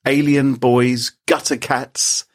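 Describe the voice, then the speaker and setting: A man's voice: mid-range voice, flat delivery, takes it slowly. A British man co-hosting a podcast, telling a story in a theatrical, teasing way. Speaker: podcast co-host.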